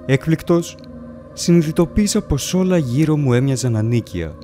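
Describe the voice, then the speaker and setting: A man playing a haunted, bewildered wanderer who narrates a gothic horror tale in a solemn, measured, dramatic way. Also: a little high, expressive, mellow, soft background music, pitched above the performer's own voice, close to an everyday voice. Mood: uneasy, astonished. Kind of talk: dramatic first-person audiobook narration.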